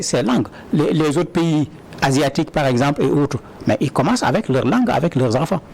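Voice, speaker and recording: A man with a fairly high voice gives earnest answers in an interview. He often stumbles and repeats words before getting going. A faint noise sits under the recording. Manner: earnest, repeats words when starting sentences. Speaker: interview guest.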